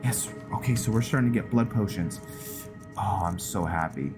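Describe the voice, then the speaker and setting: A man's voice: fairly low pitch, moderate pace. A man giving casual roleplay game commentary, thinking aloud and narrating his decisions. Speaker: gaming video host. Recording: game music behind the voice. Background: video game music.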